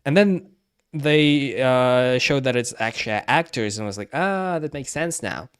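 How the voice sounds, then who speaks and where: A man livestreaming casual commentary on game cutscenes, talking haltingly and often repeating himself. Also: medium pitch, expressive, clear, resonant tone. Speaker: streamer.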